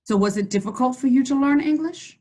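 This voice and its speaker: Woman reading a kids book out loud, slow and stretchy, super encouraging. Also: high voice. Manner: warm, encouraging, slows and stretches words when reading. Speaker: school district host reading to children. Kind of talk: read-aloud lesson and chat.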